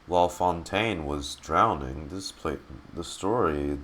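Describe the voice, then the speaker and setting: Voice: low-pitched, slow pace, a bit bright, a little hiss or hum. A streamer giving casual reaction commentary while playing a game.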